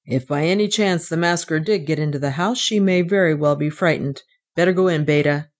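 A woman voicing a gruff, suspicious village policeman in a storybook, deliberate but fair. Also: low-pitched, lightly acted. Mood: firm.

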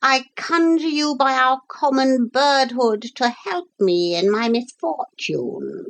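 Storybook female parrot acted by a woman, high-pitched, earnest, dignified, formal pleading, lively intonation. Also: slow, slight background noise, heavily acted.

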